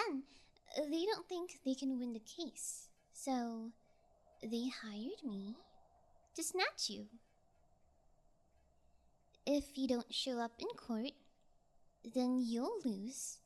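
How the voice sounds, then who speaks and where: A woman playing a cocky, callous kidnapper in an audio roleplay, with a flippant, teasing, drawn-out delivery. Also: sits high, full character voice. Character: cocky, callous sociopathic female yangire kidnapper, flippant and taunting. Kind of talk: ASMR audio roleplay acting.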